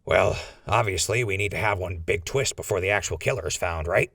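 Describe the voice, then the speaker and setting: A man's voice: deep voice, brisk, vocal fry, fairly bright tone, breathy edge, dry recording, studio quality, exaggerated voice. A man playing a wry, inquisitive sidekick investigator in a radio drama, folksy and conversational.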